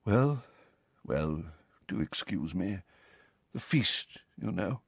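Man voicing a mournful, slow-spoken gentleman ghost. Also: lowish voice, lively intonation, dry recording. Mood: gentle, evasive. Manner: slow, hesitant, sighing, formal and quiet. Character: mournful, resigned, courtly male ghost, gentle and evasive. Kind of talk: audiobook character voice.

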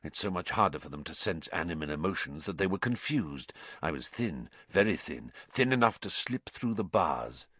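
A man voicing a gaunt, bitter fugitive with a croaky, hushed voice and a grave, intense manner in a story. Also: low voice, expressive, normal speed, hardly any pauses, no echo, pitched below the performer's own voice. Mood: haunted, intense.

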